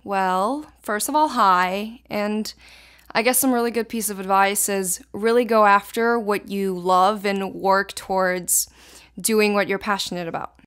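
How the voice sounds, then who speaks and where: A woman's voice: medium pitch, fairly even intonation, a little slow, fairly bright tone, clean tone. A teenage girl from Israel, a native Hebrew speaker, answering interview questions in casual, thoughtful sentences. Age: teens.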